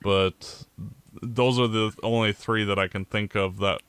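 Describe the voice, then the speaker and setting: A Canadian man co-hosting a podcast, giving blunt opinions in casual sports chat, with halting restarts. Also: lowish voice, steady tone, a bit bright, smooth, dry recording. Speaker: podcast co-host.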